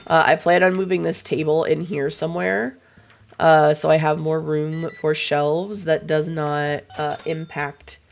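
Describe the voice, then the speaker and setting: A young woman streaming a game, chatting casually to her viewers with a voice still hoarse and froggy from a cold, rambling and swearing freely. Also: sits low, level tone, measured pace. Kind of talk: casual livestream chat while gaming.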